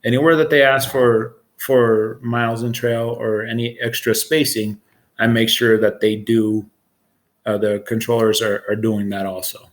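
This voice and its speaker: A man's voice: mid-range voice, little pitch movement, a little slow. American guy in his 40s, regular mid voice, explaining his job plainly, interview style. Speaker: air traffic control supervisor.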